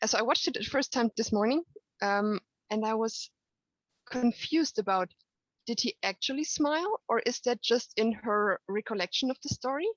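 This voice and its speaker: Woman fan, fairly high voice, dull dry video-call sound, earnest and giggly. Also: animated, no echo. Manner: slow, earnest, giggly, stammering. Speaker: fan asking a question.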